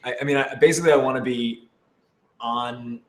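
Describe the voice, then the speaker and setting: A man chatting casually and unscripted on a live stream, playful and exclamatory, often halting mid-thought. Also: medium pitch, fairly even intonation. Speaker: radio drama cast member answering fan questions. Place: office, indoors. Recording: echoey room with background noise.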